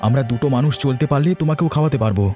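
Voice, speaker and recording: Guy doing cartoon village big brother, talks really fast, earnest and selfless, faint music under it. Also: medium pitch, dark tone, natural voice. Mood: earnest, principled. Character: self-sacrificing, earnest eldest brother of a village family. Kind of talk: Bengali cartoon dubbing.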